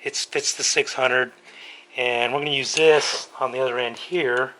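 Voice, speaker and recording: A middle-aged American man with a slightly hoarse voice, hosting a how-to video in a casual, laid-back, colloquial way. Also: mid-range voice, steady tone, a little slow, sharp tone, poor audio. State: slightly hoarse from being sick. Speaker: host of a motorcycle build series. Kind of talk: casual how-to narration to viewers. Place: home garage workshop. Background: music.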